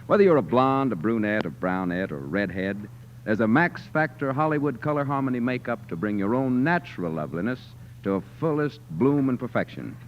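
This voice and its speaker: A man's voice: medium pitch, animated, a little fast. An American man working as a radio announcer, reading a scripted 1940s commercial with polished, emphatic salesmanship. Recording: dull, slightly noisy 1940s radio recording.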